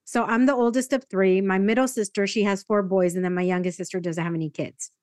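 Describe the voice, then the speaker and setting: A woman's voice: mid-range voice, fast talker, no echo, studio quality. A woman hosting a podcast, talking casually and animatedly, with lots of "like". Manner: animated, uses "like" a lot. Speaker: podcast host. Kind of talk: casual interview conversation.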